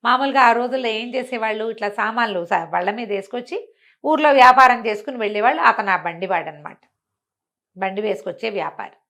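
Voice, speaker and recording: A woman telling a bedtime story in a conversational, expressive way, with rhetorical questions and acted-out exclamations from villagers. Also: a little high, brisk.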